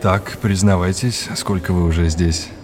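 An actor playing an erudite, middle-aged art historian and mentor, lecturing with gentle irony and old-fashioned courtesy in an audio drama. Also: male voice, sits low, fairly even intonation, moderate pace, noisy background, natural voice. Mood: playful, wry. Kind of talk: naturalistic audio drama acting.

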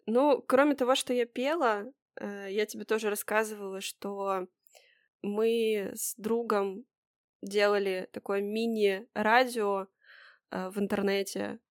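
A woman's voice: mid-range voice, fairly even intonation, measured pace, no room sound. A woman with a mid-range voice co-hosts a casual podcast. She recalls things in a hesitant, self-deprecating manner, as if talking to a friend. The recording is close and dry. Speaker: podcast co-host. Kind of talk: casual chat, personal recollections.

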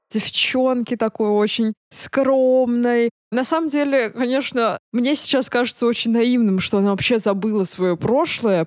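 A young woman with a fairly high voice, recorded dry and close. She co-hosts a podcast in casual chat and puts her opinions conversationally. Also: darkish tone, no echo. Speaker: podcast co-host. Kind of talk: casual chat, discussing films.

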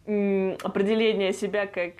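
A woman's voice: medium pitch, level tone, moderate pace, a little mellow, clean tone. A young woman co-hosting a casual podcast, chatting and laughing often as she shares her own experience. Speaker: podcast co-host.

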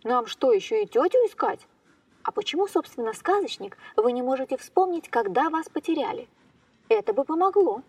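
Woman acting lively train heroine, children's audio tale, high pitch, very animated delivery, playful, a bit distant. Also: moderate pace, dry recording. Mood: curious, teasing. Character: lively woman turned into a train, searching for a lost book. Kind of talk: scripted children's fairy-tale podcast acting.

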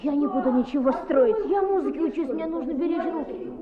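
Defiant lazy schoolboy, played by a woman, high voice, tinny radio drama with a crowd of voices behind. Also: fairly quick, lightly acted. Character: stubborn, lazy schoolboy refusing to work. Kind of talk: radio play acting.

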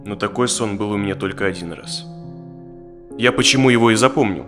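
An actor playing an uneasy therapy client in his thirties in an audio drama, speaking in a halting, reflective way. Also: on the low side, loud music behind the voice, lightly acted. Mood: hesitant, uneasy. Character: uneasy, tired thirty-five-year-old male therapy client.

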